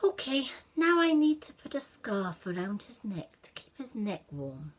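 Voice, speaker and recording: A woman's voice: mid-range voice, big pitch swings, measured pace. A woman voicing an eager, puzzled little boy in a children's story, exclaiming and musing aloud. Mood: busy.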